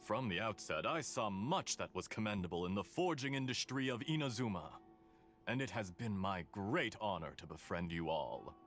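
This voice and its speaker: A smooth-talking, calculating engineer from a video game. His low voice is formal and theatrical, swinging in lively inflection, with faint music underneath. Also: normal speed. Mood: courteous, flattering.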